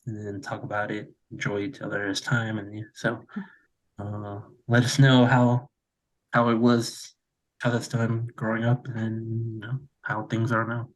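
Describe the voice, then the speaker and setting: Man host, lowish voice, loose rambling intro to the guest. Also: flat delivery, measured pace, rough recording. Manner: loose and rambling, many fillers. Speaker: podcast host. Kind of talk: casual interview questions.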